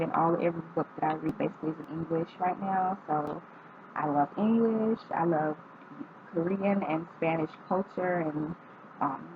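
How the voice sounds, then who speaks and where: A woman's voice: lowish voice, a little hiss or hum. Young woman vlogger, tinny phone-like audio. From North Carolina, United States. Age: 20s. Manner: reads questions aloud, then answers with frequent ums. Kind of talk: casual answering of tag questions.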